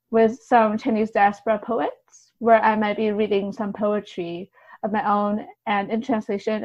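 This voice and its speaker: A woman's voice: mid-range voice, no room sound, lo-fi sound. A woman answering casually as a podcast guest, in a tentative, hedging way. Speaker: guest, translator and poet.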